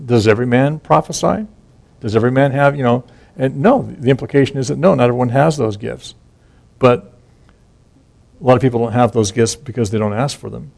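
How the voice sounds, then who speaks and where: Man, bible teaching, conversational, mid-pitched voice, repeats himself as he explains. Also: fairly quick, vocal fry, darkish tone. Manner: conversational, with restarts and asides.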